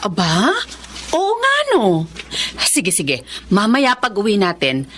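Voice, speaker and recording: A woman's voice: medium pitch, wildly swooping pitch, takes it slowly, fairly bright tone, a little hiss or hum, full character voice. An actress playing an agreeable, practical woman vendor in a Filipino radio drama, with a Filipino accent. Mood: agreeable, pleased.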